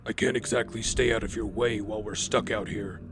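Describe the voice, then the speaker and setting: A man's voice: fairly low pitch, steady tone, brisk, noisy background, natural voice. A man playing an earnest, brooding vigilante in an audio drama, grave and determined.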